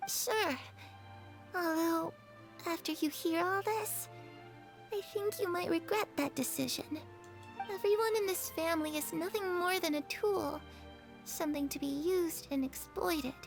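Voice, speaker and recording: An actress voicing a lonely, wistful young girl in a game cutscene, confiding softly and dreamily. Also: extremely high pitch, a little slow, gravelly, over music, exaggerated voice. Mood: bitter.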